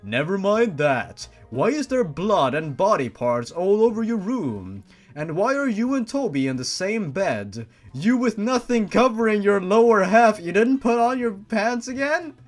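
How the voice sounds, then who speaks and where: A man's voice: high voice, big pitch swings, unhurried, faint music behind, pitched above the performer's own voice. A man voicing a stern, formal, faceless creature who is a father, reading him aloud in a mocking comedy reading. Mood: exasperated. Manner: formal, commanding.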